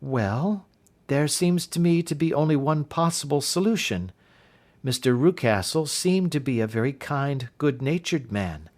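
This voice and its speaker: A man voicing a brisk, earnest young woman governess in an audiobook reading, in his own everyday voice. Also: a little high, animated, darkish tone. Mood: puzzled.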